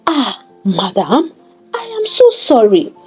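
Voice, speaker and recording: Woman putting on a polite taxi guy from a story, sorry and pleading, slightly husky. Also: on the high side, wildly swooping pitch, takes it slowly, a little mellow, soft background music, exaggerated voice. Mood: apologetic. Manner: placating, deferential. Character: polite, apologetic male taxi driver.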